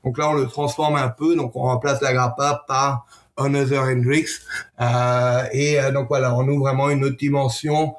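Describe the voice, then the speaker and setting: A man speaks as a guest presenting a product in an interview. His intonation stays fairly flat at an average male pitch. He talks conversationally, with frequent pauses and doubled-up words. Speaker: gin brand representative, interview guest.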